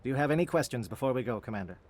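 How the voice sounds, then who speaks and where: A brisk alien military captain, acted by a man in a video game. He talks quickly in a mid-range voice, precise and formal like a practical soldier. Faint ambient noise sits behind him. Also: medium pitch, expressive, a little mellow, natural voice. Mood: resolute.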